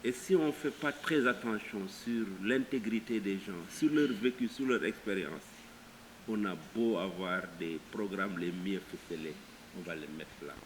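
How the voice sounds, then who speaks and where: A man's voice: on the low side, normal speed, low-quality recording. A man with a Senegalese French accent speaking in an emphatic, argumentative way, as in impassioned remarks at a workshop. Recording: faint hiss behind the voice.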